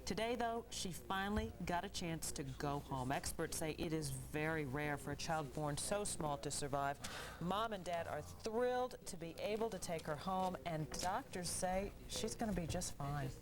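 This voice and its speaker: Female news anchor, slightly low voice. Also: animated, moderate pace, noisy background. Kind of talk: scripted news presenting.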